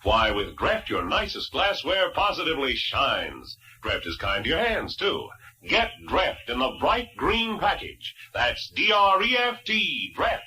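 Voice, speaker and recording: Man as a very bright, animated vintage pitchman. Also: unhurried, full character voice. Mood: enthusiastic. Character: enthusiastic 1940s male radio pitchman. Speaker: commercial announcer. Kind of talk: 1940s radio advertisement read.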